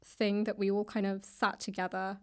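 Female guest, British accent, average pitch, casual conversational delivery. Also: little pitch movement, moderate pace, darkish tone, clear, resonant tone. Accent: British English. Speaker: guest, author and actor. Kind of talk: casual interview chat. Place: indoors in a public library room.

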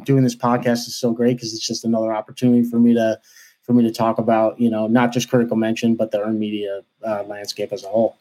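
A man's voice: mid-range voice, warm tone, poor audio. A man in his thirties with a light New York accent, chatting as a podcast guest and talking with many fillers and restarts. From New York area. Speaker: podcast guest, marketing professional. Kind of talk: casual interview chat.

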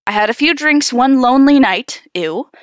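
A woman hosting a story-reading show, switching between expressive narration and slangy, sarcastic casual asides. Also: sits high, normal speed, no echo, clean studio sound. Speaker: podcast host reading horror stories aloud. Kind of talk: story read-aloud mixed with casual banter.

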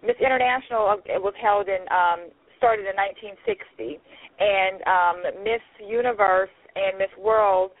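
A woman's voice: medium pitch, measured pace. Chatty woman in her forties on a phone line. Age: 40s. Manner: upbeat, chatty, many fillers. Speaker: pageant system founder, guest. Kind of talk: casual interview over the phone.

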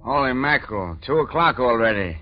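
An actor playing a suspicious, blustering small-time crook with gruff, slangy American speech, in the style of 1940s radio drama. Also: male voice, mid-range voice, expressive, brisk, soft background music, natural voice. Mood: belligerent, suspicious. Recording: muffled old-time radio recording.

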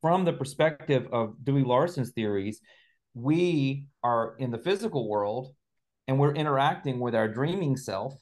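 Reflective man explaining ideas, medium pitch. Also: expressive, moderate pace, a little mellow. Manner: reflective, explains through analogies and examples. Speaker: interview guest, author. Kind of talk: conversational explanation.

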